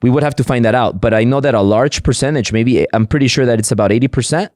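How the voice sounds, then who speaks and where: A man's voice: medium pitch, quick pace, a little mellow, dry recording, clean studio sound. A middle-aged man whose first language is Spanish, talking eagerly in a casual interview, often repeating words and restarting sentences. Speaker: podcast guest, entrepreneur.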